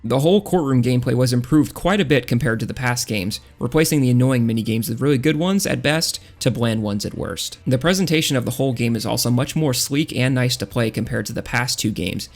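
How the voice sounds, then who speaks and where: A man's voice: mid-range voice, creaky, darkish tone. A man narrating a scripted video game review in a casual, blunt, opinionated way. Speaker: video essayist reviewing video games.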